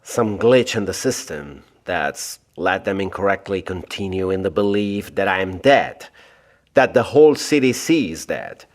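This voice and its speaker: A man's voice: sits low, animated, unhurried. A man acting in an audio drama as a stranded scientist, anxious and insistent, with a formal, precise way of talking. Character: stranded government scientist, a doctor, anxious, insistent, self-important.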